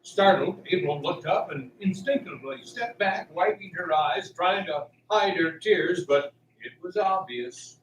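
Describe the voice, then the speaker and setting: A man narrating a radio drama with animated, suspenseful, scripted storytelling. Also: sits high, unhurried, few pauses, slight background noise. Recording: roomy, distant mic, music behind. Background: music.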